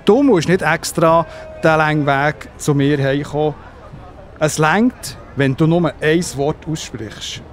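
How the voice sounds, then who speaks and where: Man acting a slow-spoken, earnest Roman centurion. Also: fairly high pitch, slight background noise. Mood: earnest trust. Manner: earnest, pleading. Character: Roman centurion, a worried, humble man of firm faith. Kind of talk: children's audio play acting.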